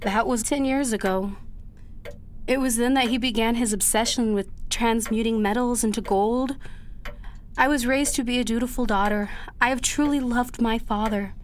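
A woman playing a dutiful, anguished young daughter in a period radio drama, speaking earnestly and haltingly in formal old-fashioned phrases. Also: fairly high pitch, slight background noise, natural voice. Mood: earnest, resolved.

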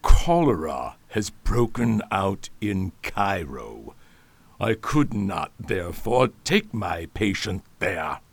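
A man playing a scheming villainous doctor, speaking in a silky, slow, quietly threatening way in a radio drama. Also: low voice, sing-song, slightly rough, no echo, exaggerated voice. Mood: suspicious. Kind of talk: amateur radio drama acting.

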